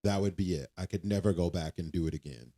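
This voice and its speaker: Deep guy co-hosting a faith podcast, slow and thoughtful, repeats himself a lot. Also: mellow. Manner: reflective, repeats himself, trails off. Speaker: podcast co-host. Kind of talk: casual faith-based chat.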